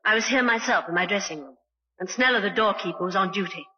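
Long reverb and dull vintage sound surround a firm theatre company boss, acted by a woman. Her voice is mid-pitched, and she speaks decisively and emphatically, loyal to her people. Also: fast talker, natural voice. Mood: composed. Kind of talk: old-time radio drama acting.

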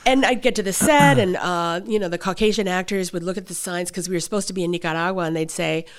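Picture a woman with a slightly low voice telling backstage stories from her acting career. She talks animatedly and laughs often, answering an interviewer at length. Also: fast talker. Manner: animated anecdotes, frequent laughter. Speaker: actress guest. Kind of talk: interview storytelling.

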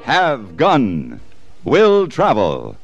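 A man's voice: medium pitch, wildly swooping pitch, extremely slow. A man announcing an old radio drama in a dramatic, scripted style. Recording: dull vintage radio, loud music. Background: loud brass music.

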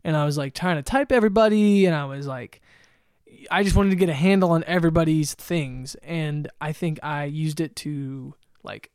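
A man's voice: fairly high pitch, moderate pace, darkish tone, smooth, no echo, clean studio sound. A man co-hosting a podcast in casual chat, rambling with frequent laughs and fillers. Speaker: podcast co-host.

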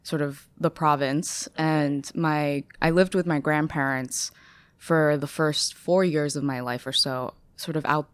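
Young woman guest, American accent, low dry voice, hesitant and reflective, many fillers. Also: steady tone, a little slow, clean tone, no echo. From China. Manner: hesitant, filler-heavy, thoughtful. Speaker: guest, strategy staffer. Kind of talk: casual podcast interview.

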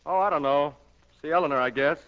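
An actor playing a heartbroken, sullen young farm son in a 1940s radio drama. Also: male voice, fairly high pitch, fast talker, clear, resonant tone, dull audio, natural voice. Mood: glum. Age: young adult.

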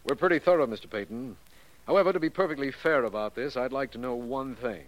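An actor playing a brisk, earnest district attorney in 1940s radio drama, with a businesslike, courteous, conversational manner. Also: male voice, mid-range voice, close to an everyday voice. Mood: firm, official. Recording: noisy vintage 1940s radio recording.

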